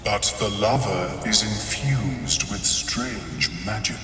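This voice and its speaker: Mind flayer for a game: unhurried, polite, clinical intellectual monster, cavernous echo, faint music behind. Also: male voice, lowish voice, fairly bright tone. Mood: clinical, detached. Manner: formal, measured, clinical. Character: calm scholarly male mind flayer, detached, politely clinical. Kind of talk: video game dialogue acting. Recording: echoing hall with long reverb, faint music.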